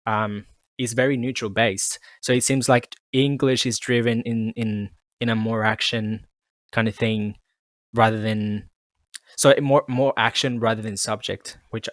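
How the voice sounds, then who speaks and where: A man's voice: mid-range voice, no echo, clean studio sound. A man from Colombia whose first language is Spanish, co-hosting a podcast in casual chat, rambling with many restarts and repeated words. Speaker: podcast co-host.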